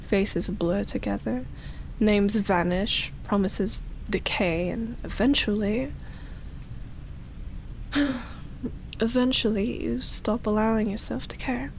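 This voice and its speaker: A woman voicing an ancient, lonely vampire in love, speaking softly and intimately with sighs, whispered words and lingering pauses, as in roleplay ASMR. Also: mid-range voice, steady tone, gravelly, slight background noise, rough recording. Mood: melancholy, confiding. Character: lonely, obsessive female vampire, ancient, tender and teasing.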